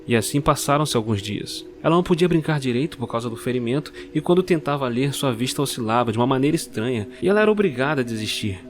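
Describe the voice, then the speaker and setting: A man narrating a dark Christmas fairy tale slowly and dramatically. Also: medium pitch, expressive, slight background noise. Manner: slow, dramatic storytelling. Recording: clear music bed under the voice.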